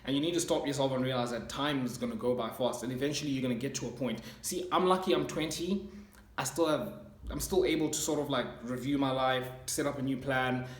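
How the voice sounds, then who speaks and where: Twenty-something male vlogger, earnest and rambling, mid-pitched voice, roomy echo. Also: brisk. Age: 20s. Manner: rambling, many fillers and restarts, earnest. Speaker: self-improvement channel host. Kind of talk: casual motivational monologue.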